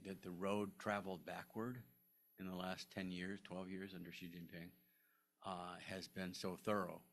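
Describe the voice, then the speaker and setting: An older man, a historian, answering audience questions at a lecture, halting with many restarts and fillers. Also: low-pitched, creaky, a bit bright, slight background noise. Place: lecture room.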